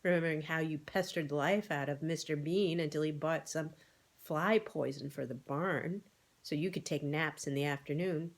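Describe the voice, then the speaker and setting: A woman's voice: low voice, normal speed. A woman voicing a comfortable, motherly, plainspoken cow with a good heart in an animal storybook. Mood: teasing.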